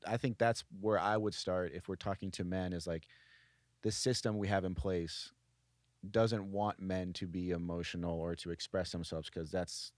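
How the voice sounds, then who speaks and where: A young man co-hosting a podcast, reflective and measured in casual conversation. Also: deep voice, fairly even intonation, dry recording, studio quality. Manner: reflective, measured, thinks aloud. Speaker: podcast co-host.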